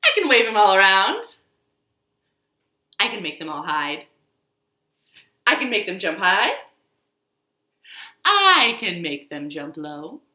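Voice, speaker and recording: A woman hosting a children's storytime, reading and reciting rhymes in an expressive, sing-song, exaggerated way for young children. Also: mid-range voice, takes it slowly, long pauses. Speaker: children's storytime host at a library. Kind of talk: children's storytime with action songs, rhymes and picture-book reading. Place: living room at home. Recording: echoey room.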